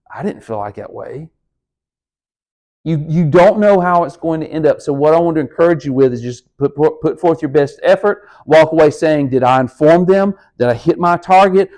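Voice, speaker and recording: Encouraging male speech instructor, slightly high voice. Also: a little mellow. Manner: conversational, encouraging, talks straight to students. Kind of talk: recorded class lecture. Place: room with a lectern.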